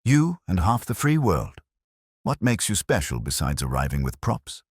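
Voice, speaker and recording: A man voicing a cocky, wisecracking detective's assistant in an audio story, sardonic and quick-witted. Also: low voice, very animated, breathy edge, no echo, clean studio sound, heavily acted.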